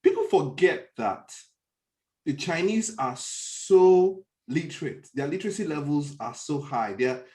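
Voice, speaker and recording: A man's voice: fairly high pitch, lively intonation, unhurried, warm tone. A man with a Nigerian accent in a conversational discussion, often stopping to search for words and restate his point.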